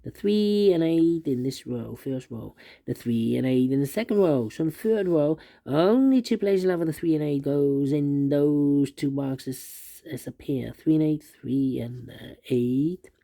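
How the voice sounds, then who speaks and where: Gravelly-voiced man calmly talking through a puzzle. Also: fairly high pitch, unhurried, hardly any pauses. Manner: hesitant, many uh fillers, repeats numbers aloud. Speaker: sudoku instructor. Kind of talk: solving a puzzle step by step while narrating.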